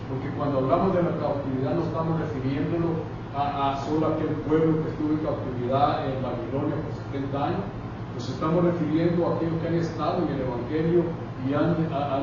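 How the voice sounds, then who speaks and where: Man preaching a sermon in an echoey church. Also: on the high side. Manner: preaching with repeated direct address to the congregation. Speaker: pastor. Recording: echoey, distant, dull, noisy hall recording.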